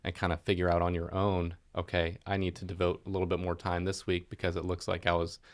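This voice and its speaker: A man's voice: deep voice, completely flat delivery, fast. A man co-hosting a podcast, responding and asking questions in a conversational style. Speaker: podcast co-host. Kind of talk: conversational interviewing.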